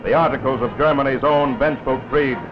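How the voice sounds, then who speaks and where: A man's voice: medium pitch, brisk, noisy background. A man narrating a 1940s wartime newsreel in a grave, oratorical, scripted style. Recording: dull lo-fi 1940s film soundtrack with music.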